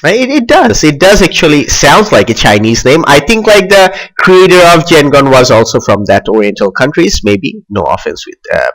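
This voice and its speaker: Young man hosting a casual software tutorial. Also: on the high side, very animated, normal speed, hardly any pauses. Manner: loose, with many fillers like "like" and "uh".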